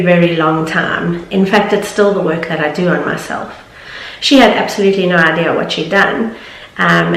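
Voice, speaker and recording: A woman, slightly nasal from hay fever, talking earnestly and chattily to her viewers. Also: fairly low pitch. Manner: chatty, earnest, laughs easily. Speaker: vlogger and confidence coach. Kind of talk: casual talk to camera. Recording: echoey room.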